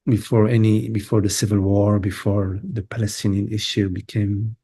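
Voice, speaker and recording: Older guy teaching satsang on a video call, low mellow voice, wanders and hesitates a lot. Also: flat delivery, slightly rough. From Lebanon. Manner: meandering, halting, many fillers. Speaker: spiritual teacher. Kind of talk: satsang talk.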